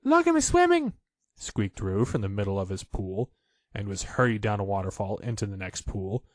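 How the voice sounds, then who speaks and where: A man reading a children's story aloud as an expressive audiobook narrator. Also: fairly low pitch, moderate pace, no echo.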